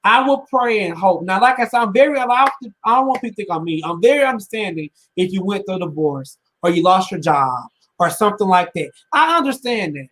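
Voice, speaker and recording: A woman's voice: mid-range voice, lively intonation, brisk, a bit bright. Blunt young woman sharing opinions. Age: 20s. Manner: blunt, emphatic, slangy. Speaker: podcast co-host. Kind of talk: casual opinionated chat.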